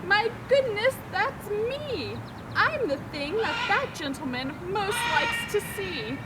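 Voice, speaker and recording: A woman's voice: very high voice, very animated, slow pace, very noisy, pitched above the performer's own voice, heavily acted. A woman voicing a restless, eager male emu from a children's storybook, declaiming in rhyme. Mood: amazed, delighted.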